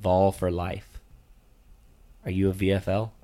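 A middle-aged father with a very deep, low voice runs the game picks in a casual chat. He is patient and matter-of-fact, with a dry, teasing edge. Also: flat delivery, unhurried, mellow. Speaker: father co-hosting sports picks.

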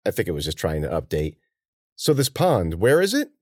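A guarded woman around thirty , voiced by a male narrator, blunt and a little sarcastic. Her story dialogue moves with lively pitch and is recorded very dry. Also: moderate pace, breathy edge, no echo, heavily acted. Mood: wary.

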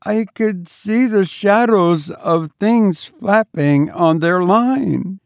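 A man's voice: high-pitched, slow, gravelly, no echo, studio quality, pitched above the performer's own voice, full character voice. A man voicing a terrified housemaid in a storybook reading, gasping, faint and hesitant with horror. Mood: fearful.

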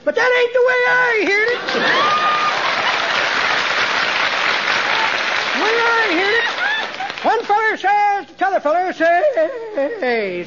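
Very high-voiced folksy old codger. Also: male voice. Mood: gleeful storytelling. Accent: American. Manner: folksy rustic drawl, teasing and rambling. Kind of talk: 1940s radio comedy acting. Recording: dull noisy 1940s radio, audience behind.

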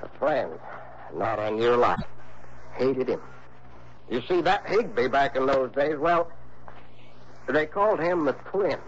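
A man's voice: mid-range voice, animated, takes it slowly, long pauses. An actor playing a folksy, crotchety small-town insurance man in an early 1960s radio drama, with a hesitant, exasperated drawl. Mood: confiding. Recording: muffled, noisy old radio broadcast.

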